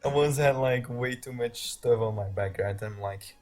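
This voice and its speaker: A man's voice: mid-range voice, normal speed, a little mellow, faint music behind. Belgian-accented man hosting a casual stream. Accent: Belgian Dutch. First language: Dutch. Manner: rambling, laughs often, thinks aloud. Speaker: live stream host. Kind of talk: casual game tutorial chat.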